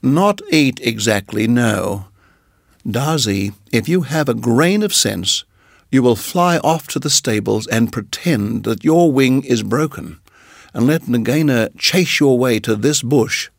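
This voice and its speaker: Man doing a fierce little mongoose, brisk, impatient, gravelly tone, dry close sound, storybook reading. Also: mid-range voice, expressive, no echo. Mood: urgent, impatient. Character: brave young male mongoose, impatient and fierce.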